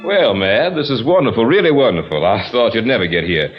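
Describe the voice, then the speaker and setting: An actor playing a wealthy, lonely plantation master and possessive old suitor, courtly and theatrical, in a radio drama. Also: male voice, medium pitch, lively intonation, a little fast, over loud music, lightly acted. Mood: effusive welcome. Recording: dull lo-fi old radio recording.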